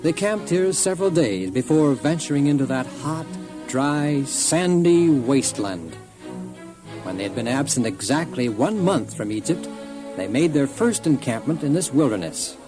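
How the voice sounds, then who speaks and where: A man's voice: mid-range voice, sing-song, normal speed, music in the background. A man narrating a scripted children's Bible story in a solemn, dramatic storytelling manner.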